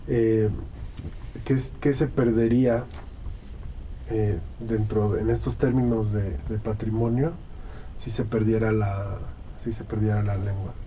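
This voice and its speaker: A Mexican man hosting a radio interview, calm and steady, giving frequent soft agreement while the guests talk. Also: lowish voice, recorded from a distance, a little hiss or hum, rough recording. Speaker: radio host.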